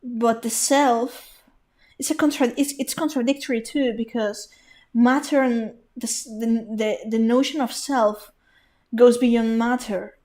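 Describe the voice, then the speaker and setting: Woman streamer rambling slowly over gameplay, kinda highish voice, lots of restarts, quiet music behind. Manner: rambling, thinking aloud, many restarts and fillers. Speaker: game streamer. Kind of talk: casual live gameplay commentary. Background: game music.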